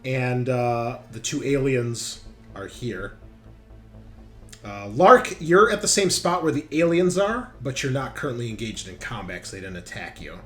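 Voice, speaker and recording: A man's voice: mid-range voice, animated, unhurried, a bit bright. A man acting as game master in a tabletop roleplaying game, narrating action and explaining the rules. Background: background music.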